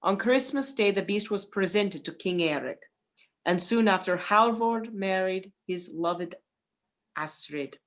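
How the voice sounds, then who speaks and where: A woman's voice: mid-range voice, animated, measured pace. A woman narrating a scripted folktale as a dramatic storyteller for a radio play.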